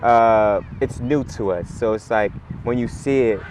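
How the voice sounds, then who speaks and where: A man, chatting in a relaxed, rambling way as an interview guest, full of "like" and "you know". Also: mid-range voice, unhurried, a little hiss or hum. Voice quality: raspy. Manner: laid-back, rambling, heavy on "like" and "you know". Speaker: musician guest. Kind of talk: casual interview chat.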